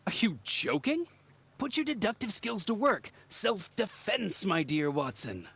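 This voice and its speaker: An actor playing a weary, theatrical Victorian gentleman with sarcastic politeness in a video game. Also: high-pitched, very animated, a little slow, creaky, slightly rough, full character voice. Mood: sarcastic, fed up. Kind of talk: dramatic video game acting.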